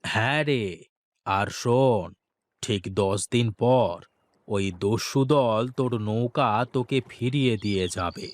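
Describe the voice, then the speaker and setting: A man's voice: medium pitch, slow pace, close to an everyday voice. An actor voicing a commanding but benevolent old holy man in a fairy-tale cartoon, solemn as he instructs.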